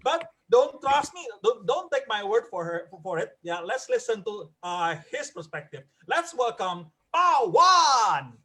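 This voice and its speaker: Male comedy night host, very high voice, excitable, swooping intonation. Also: measured pace, few pauses, husky. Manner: excitable, animated. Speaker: host of stand-up comedy open mic. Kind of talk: live comedy show emceeing.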